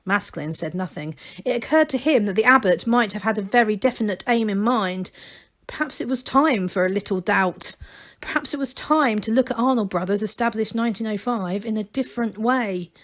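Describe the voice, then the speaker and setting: A woman reading a children's novel aloud as expressive, scripted storybook narration. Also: mid-range voice, moderate pace.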